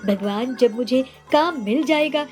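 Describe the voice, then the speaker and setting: A woman's voice: high-pitched, measured pace, darkish tone, faint music behind. A woman voicing a devout, earnest, poor young male labourer in a children's cartoon story. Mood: pleading, devout.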